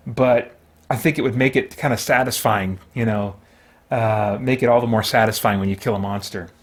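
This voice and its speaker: A man's voice: on the low side, normal speed. A man hosting a solo tabletop game, giving casual play-by-play and thinking aloud with mild exclamations and chatty asides to himself.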